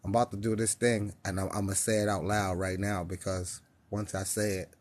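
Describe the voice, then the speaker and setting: A man's voice: low voice, little pitch movement, a little fast. A man from New York hosting a casual live-stream podcast, rambling and interrupting himself, with frequent laughs.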